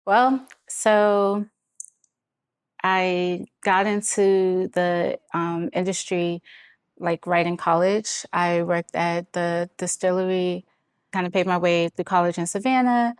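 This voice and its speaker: A woman's voice: on the low side, steady tone, takes it slowly, clean tone, no room sound, studio quality. A woman telling her story as an interview guest in an informal way, often saying "like" and "you know". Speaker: podcast guest, brewery founder.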